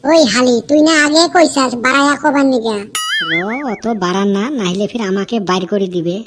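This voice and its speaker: A man's voice: very high voice, fairly even intonation, fairly quick. Foolish young village bachelor played by a man, cheeky bickering, Rangpuri Bengali accent, cartoon comedy, noisy track. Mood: indignant, whispered asides. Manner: bickering, colloquial. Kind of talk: broad cartoon comedy acting.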